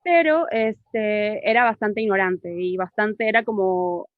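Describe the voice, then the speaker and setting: A woman's voice: medium pitch, a little slow, no echo, narrow, tinny audio. A woman in her thirties from Peru, with a Peruvian accent mixed with a Cuban touch, telling her story as an interview guest on a video call and talking chattily with many fillers and repeated words. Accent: Peruvian Spanish with a mixed, Cuban-tinged touch. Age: 30s. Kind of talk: personal testimony over a video call.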